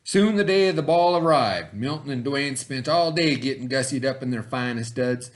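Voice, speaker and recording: A middle-aged man reading a picture book aloud to a class in a folksy storybook manner, pitching his voice up for the characters. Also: expressive, moderate pace. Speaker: teacher.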